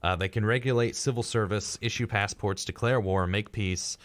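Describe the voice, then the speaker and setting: A man's voice: lowish voice, normal speed, dry recording. A man co-hosting a casual podcast discussion, reading out facts in a dry, dismissive way. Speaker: podcast co-host.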